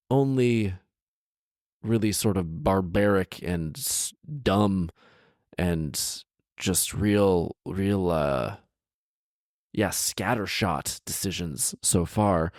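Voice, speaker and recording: Male story podcast host, low register, dry close recording, loose sarcastic ad-libs around the reading. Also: drawn-out words, pauses often, darkish tone, no echo. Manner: informal, sarcastic asides. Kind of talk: story reading with comedic ad-libbed commentary.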